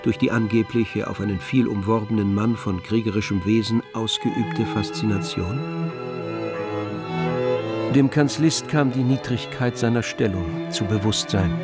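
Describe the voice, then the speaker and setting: A man narrating a radio drama as a wry, measured literary storyteller. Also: fairly low pitch. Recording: studio with faint string music behind.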